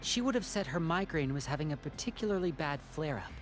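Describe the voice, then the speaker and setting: A man's voice: a little high, expressive, natural voice. Man acting a composed, courteous young man. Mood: watchful, analytical. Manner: measured, polite, deliberate. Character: composed, courteous, watchful young man, protective older brother. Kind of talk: video game voice acting. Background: music.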